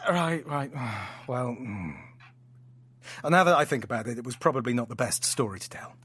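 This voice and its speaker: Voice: mid-range voice, animated, normal speed, a bit bright, breathy edge. An actor in an audio drama playing a theatrical, playful storyteller friend with hammy flourishes and sheepish, affectionate asides. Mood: tender, apologetic.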